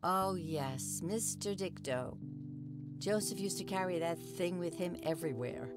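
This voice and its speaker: A woman voicing a kind, gentle elderly grandmother in a video game, speaking softly and fondly. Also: lowish voice, measured pace, voice pushed down, lightly acted. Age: older. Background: game music.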